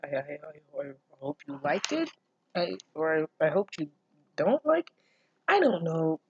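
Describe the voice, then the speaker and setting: A woman's voice: low-pitched, sing-song, a little slow, vocal fry, highs cut off, slight background noise. A woman reading and commenting on fan fiction in a casual, comedic way, sweary, sardonic and exasperated, stumbling over her words. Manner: breaks into laughter and stammers.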